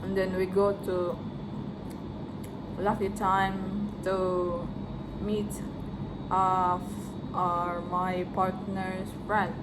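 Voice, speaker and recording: A woman's voice: fairly low pitch, level tone, drawn-out words, pauses often. A Filipino woman with a Filipino accent, chatting casually to her viewers in a travel vlog, laughing often. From Philippines. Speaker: vlogger. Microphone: phone camera. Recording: street noise behind.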